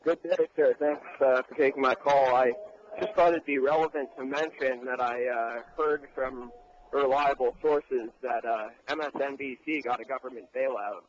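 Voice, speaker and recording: A man's voice: medium pitch, fairly even intonation, moderate pace, slight background noise. Male caller on a dull phone line. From California.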